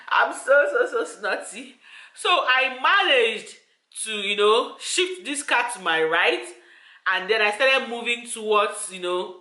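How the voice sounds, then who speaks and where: A woman's voice: medium pitch, pushed and bright, reverberant. A woman telling a personal story to her viewers, earnest and repetitive, often calling out to them directly. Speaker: vlogger.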